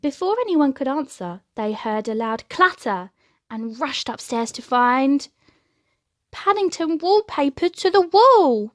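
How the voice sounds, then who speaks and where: A woman reading a children's storybook aloud as an expressive narrator. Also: sits high, a little slow, dry recording, studio quality. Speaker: storybook reader.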